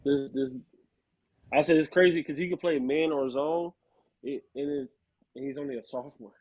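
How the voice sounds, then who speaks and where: An American man gives casual takes on a sports panel. His voice runs a little high and has a rough, husky grain. He talks in slang, with the eagerness of a fan. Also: lively intonation, normal speed, a little mellow, low-quality recording. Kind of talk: casual chat about football prospects.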